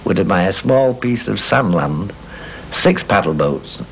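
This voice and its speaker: A man, talking in a documentary interview at home, halting often with fillers. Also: low-pitched, animated, creaky, fairly bright tone, rough recording. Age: 60s. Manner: hesitant, halting with many fillers. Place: living room at home. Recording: old VHS tape with faint hiss.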